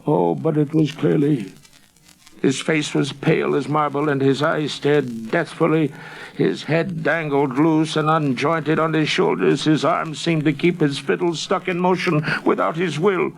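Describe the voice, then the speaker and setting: Man acting a haunted old shepherd, raised husky voice. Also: fairly high pitch, steady tone, unhurried, voice pushed up, low-quality recording. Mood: awed, agitated. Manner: haunted, intense. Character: old shepherd recalling a ghostly sight with awe. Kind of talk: dramatic eyewitness tale.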